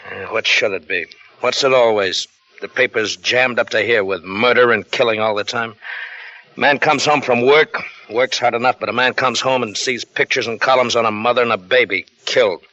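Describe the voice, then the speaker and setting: A man's voice: medium pitch, moderate pace, bright, forceful tone, natural voice. A man playing a brooding, touchy jobless husband. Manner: bitter, sullen, flaring up. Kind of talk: 1940s radio drama acting. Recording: dull old radio broadcast with faint hiss.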